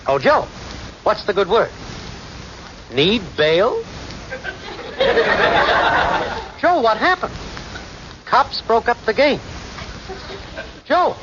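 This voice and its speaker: High-pitched brash radio hustler. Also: male voice. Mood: dismayed. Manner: slangy, cocksure, theatrical sweet-talk. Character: brash scheming small-time city hustler boyfriend. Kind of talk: 1940s radio comedy acting. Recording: noisy, muffled 1940s radio recording.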